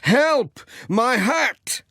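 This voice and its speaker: A man's voice: extremely high pitch, lively intonation, very slow, vocal fry, bright, forceful tone, no room sound, clean studio sound, pitched above the performer's own voice, full character voice. A man voicing a cheerful, good-natured village postman in a children's storybook, friendly and given to exclaiming. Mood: dismayed.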